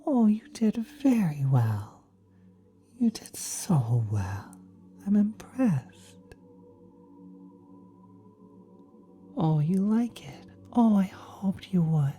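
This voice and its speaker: A woman playing a gentle, doting ethereal cosmic being in an ASMR roleplay, speaking softly and breathily, often whispering, tender and reassuring as if to a small child. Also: fairly low pitch, sing-song, slow, soft background music. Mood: delighted, proud.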